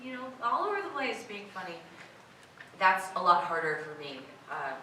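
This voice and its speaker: A hoarse, raspy woman with a low voice chats through fan questions as a convention panel guest, talking herself down with plenty of fillers. She is heard from well across a very echoey room. Steady background noise fills the hall. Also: normal speed, distant mic. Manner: chatty and self-deprecating, many fillers. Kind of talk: casual panel Q&A answers. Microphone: filmed by a camera from across the room.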